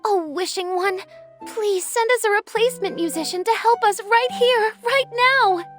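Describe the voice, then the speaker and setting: Squeaky, childlike little game helper. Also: female voice, normal speed. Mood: eager, fretful. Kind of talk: video game voice acting. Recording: music under the voice.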